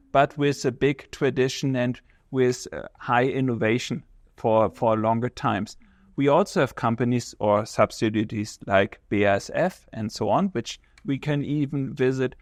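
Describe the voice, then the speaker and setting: A man with a German accent, a visiting professor speaking in English as a second language, explaining things in a measured way and searching for words as he talks. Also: medium pitch, dry recording, clean studio sound. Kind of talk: conversational interview answers.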